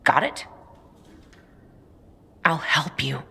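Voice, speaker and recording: A woman's voice: low-pitched, big pitch swings, extremely slow, vocal fry, sharp tone, husky, heavily acted. A woman playing a tough, loyal young fighter in a video game cutscene, blunt and wry but caring. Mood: firm, resolute. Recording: noisy ambience behind the voice.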